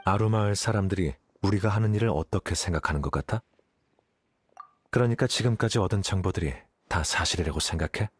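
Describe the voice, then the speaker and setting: A man playing a calm, aloof young scholar in a Korean game, explaining things in a composed, matter-of-fact way. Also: sits low, fairly quick, husky. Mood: composed, detached. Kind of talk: Korean game dialogue voice acting.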